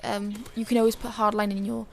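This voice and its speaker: A woman with a dry, hoarse voice giving casual, chatty and rambling commentary over a video game. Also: mid-range voice, flat delivery, a little fast, a little hiss or hum. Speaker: gaming video creator.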